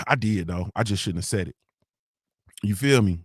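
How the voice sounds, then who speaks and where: A man's voice: lowish voice, moderate pace, breathy edge, dry recording, clean studio sound. A man hosting a morning show, chatting loosely and cussing often, with slangy storytelling and constant "you feel me" tags. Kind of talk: casual live-stream chat reading comments.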